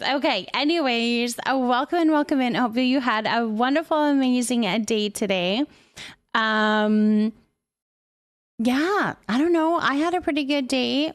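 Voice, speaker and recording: Female livestream host, higher-pitched, chatty and informal, dry close sound. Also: dry recording. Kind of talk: casual livestream chat, greeting viewers and reading comments aloud.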